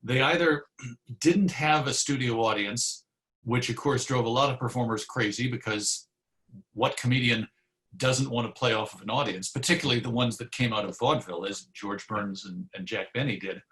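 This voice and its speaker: A man's voice: mid-range voice, normal speed, a bit bright, poor audio. Reflective man in casual, discursive conversation. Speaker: guest, old-time radio host and historian. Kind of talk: casual interview conversation about history.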